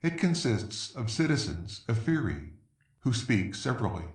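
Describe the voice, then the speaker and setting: A man's voice: medium pitch, big pitch swings, unhurried, a little mellow. A man serving as narrator, reading scripted stage directions. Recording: echoey room, distant from mic.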